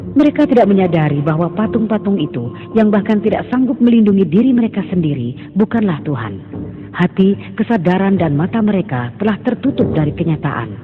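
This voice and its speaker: Solemn male storybook narrator, fairly high voice, tinny phone-like sound, music under.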